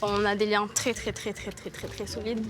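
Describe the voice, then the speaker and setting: A woman's voice: mid-range voice, flat delivery, moderate pace. A woman from Guiana, answering an interview earnestly and repeating words for emphasis. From French Guiana. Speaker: village activist interviewee. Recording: music behind the voice. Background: background music.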